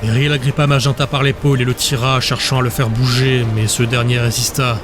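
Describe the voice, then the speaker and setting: A male narrator reads a dramatic fantasy audiobook in a level, medium-pitched voice. The scripted storytelling runs over clearly audible music. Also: level tone, brisk.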